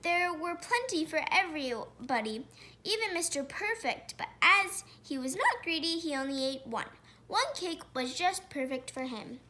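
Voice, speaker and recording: A woman reading a children's picture book aloud, pausing to point out pictures and put questions to listeners. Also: sits high, big pitch swings, sharp tone. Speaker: storybook reader.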